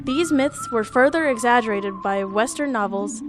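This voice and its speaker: A woman's voice: a little high, moderate pace. A teenage girl student reporter narrating a segment and asking classmates questions. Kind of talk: scripted narration and street interviews. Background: background music.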